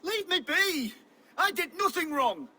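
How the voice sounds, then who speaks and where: A panicky, pleading Saxon priest. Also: male voice, very high-pitched, big pitch swings, unhurried, bright, forceful tone, a little hiss or hum, exaggerated voice. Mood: frightened pleading.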